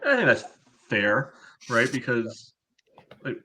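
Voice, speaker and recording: A man's voice: mid-range voice, sing-song, slow, bright, forceful tone, a little hiss or hum. A man co-hosting a casual soccer podcast, giving opinionated, analytical takes in a conversational way. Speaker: podcast co-host.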